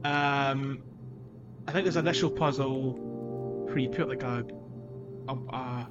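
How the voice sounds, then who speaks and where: A man's voice: medium pitch, steady tone, a little slow, over music. Lo-fi male podcast co-host, casual. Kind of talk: casual gaming podcast chat.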